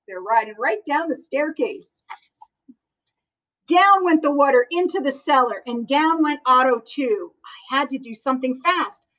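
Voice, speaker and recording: A woman reading a children's picture book aloud in an expressive, animated way, as if to young listeners. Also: high-pitched, a little slow, poor audio.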